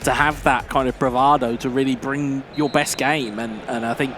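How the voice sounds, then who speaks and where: A man's voice: medium pitch, fairly even intonation, normal speed. Male analyst co-commentator, thoughtful, some hesitation, noisy background. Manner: reflective, hesitant with fillers. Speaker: co-commentator, former competitor. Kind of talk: live esports commentary analysis.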